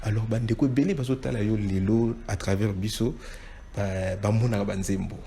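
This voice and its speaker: A man's voice: lowish voice, fairly even intonation, fairly quick, gravelly, warm tone, slight background noise. A man hosting an interview, often breaking in with short affirmations and speaking in a friendly, affirming way. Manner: affirming, frequent short acknowledgements. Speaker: interviewer, host. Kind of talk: interview, mixing Lingala and French.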